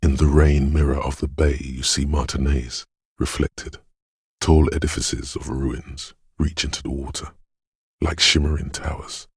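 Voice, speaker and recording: A gravelly, abyss-deep inner voice. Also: male voice, level tone, dry recording, studio quality, full character voice. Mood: cryptic, brooding. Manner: slow, solemn, cryptic, hushed intensity. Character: ominous inner voice of intuition and dreams, brooding. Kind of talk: dramatic game narration.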